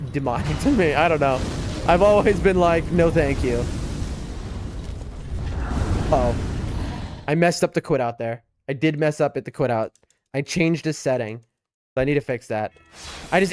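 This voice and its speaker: A man with a New York accent and a fairly high voice talks casually through a live game stream. He rambles along and speaks straight to his viewers. Music plays behind him. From US East Coast. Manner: rambling, chatty, addresses viewers.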